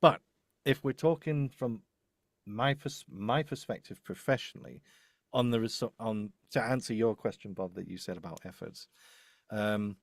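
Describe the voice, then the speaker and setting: A man's voice: lowish voice, moderate pace, no room sound. A man giving casual professional advice as a demo producer in a live discussion, often correcting himself and repeating phrases. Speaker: voice-over demo producer and host.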